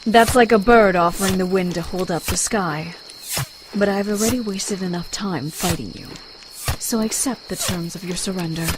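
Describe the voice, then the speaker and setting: A woman playing a proud, blunt warrior with a dry, haughty, formal manner in an animated comic adventure. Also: medium pitch, moderate pace, background noise, close to an everyday voice. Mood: dry mockery.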